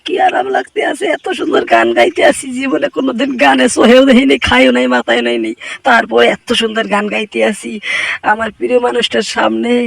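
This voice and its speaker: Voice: medium pitch, steady tone, fairly quick, gravelly, fairly bright tone. A performer voicing a boastful, scheming, lovesick cartoon cat in an exclamatory comic dub, with a Barisal accent from Bangladesh.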